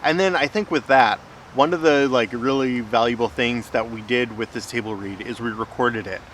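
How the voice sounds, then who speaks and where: Bright-voiced man explaining casually. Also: medium pitch, normal speed, slight background noise. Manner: conversational, explanatory. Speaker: host, filmmaker. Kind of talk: casual explanatory vlog talk.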